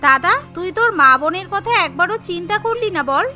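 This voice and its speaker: Lady doing a spiteful young sister-in-law for a cartoon, super high and bright, sulky and taunting, music behind. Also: expressive, brisk, exaggerated voice. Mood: sulky, hurt. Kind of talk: animated family story dialogue.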